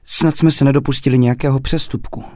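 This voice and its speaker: A gentle, courteous young woman in a storybook reading, as a male narrator puts her on. Her voice sits higher than his and stays soft and polite. The recording is close and dry. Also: steady tone, no echo, natural voice.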